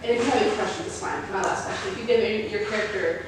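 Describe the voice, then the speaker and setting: A woman's voice: medium pitch, racing. Echoey, distant woman hosting casually. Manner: effusive, informal. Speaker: moderator of a cast discussion. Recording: distant, echoey room with clear noise.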